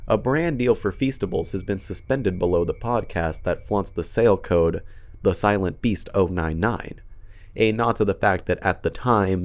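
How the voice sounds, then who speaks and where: A man narrating scripted commentary in a casual, dryly sarcastic way with some swearing. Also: sits low. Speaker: video essay host.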